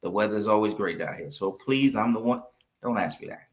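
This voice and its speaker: Low-voiced middle-aged man, chatty interview guest. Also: a little fast. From Brooklyn, New York. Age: 50s. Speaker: interview guest, accountant and business owner. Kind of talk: conversational interview.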